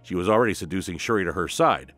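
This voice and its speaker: A man hosts a comic book recap with a low voice and very lively intonation. He is informal and emphatic, telling the story dramatically over faint background music. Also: quick pace, no room sound. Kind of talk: scripted story recap and commentary.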